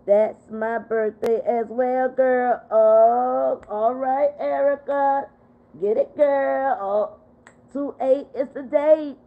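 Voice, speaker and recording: A woman in her fifties hosting a casual livestream chat, reading out viewer comments and answering them in an animated way. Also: sits high, slow. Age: 50s. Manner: animated, talks back to viewers. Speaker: livestream host. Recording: phone-like narrow sound.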